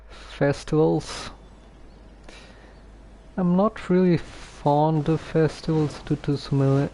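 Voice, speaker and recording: A man giving casual, halting game commentary on a stream, low-key and dryly grumbling. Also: dark tone, a little hiss or hum, low-quality recording. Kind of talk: casual gameplay commentary, some reading aloud.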